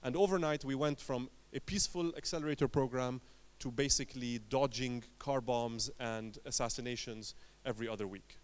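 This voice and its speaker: A man from Lebanon giving a conference talk as an entrepreneur, telling his story in short emphatic phrases with dramatic pauses. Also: mid-range voice.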